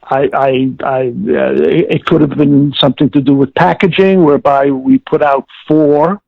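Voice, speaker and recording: A man speaking off the cuff as an interview guest, recollecting in a hesitant, halting, stammering way. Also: medium pitch, fairly even intonation, creaky, no room sound. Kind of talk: casual recollection. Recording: dull, muffled lo-fi voice track.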